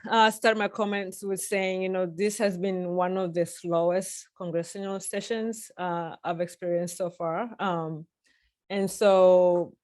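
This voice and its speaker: A woman from Tanzania answering questions on a webinar panel over a video call, earnest, with many ums and restarts. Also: on the low side. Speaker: church policy panelist.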